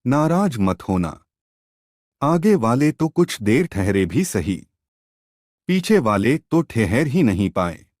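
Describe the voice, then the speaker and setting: A man's voice: mid-range voice, sing-song, slow pace, long pauses, a little mellow, no echo, studio quality. A man voicing a timid, deferential messenger in a storybook tale, apologetically reporting to his king. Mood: nervous, deferential.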